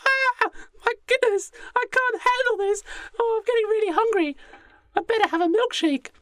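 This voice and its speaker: A man's voice: very high-pitched, moderate pace, fairly bright tone, no room sound, studio quality, voice pushed up. A man voicing a frightened, airheaded young woman in a comic radio play, exclaiming helplessly. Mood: flustered, fretting.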